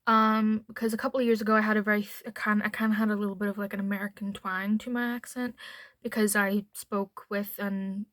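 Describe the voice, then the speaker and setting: A woman's voice: medium pitch, little pitch movement, fairly quick, a bit bright, no echo. A woman with a mild Northern Irish accent chatting casually to camera at home, rambling into asides as a beauty vlogger. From Northern Ireland.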